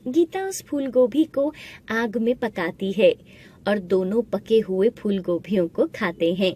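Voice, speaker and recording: A woman narrating a scripted animated story, with music playing behind her voice. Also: mid-range voice, a little slow. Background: background music.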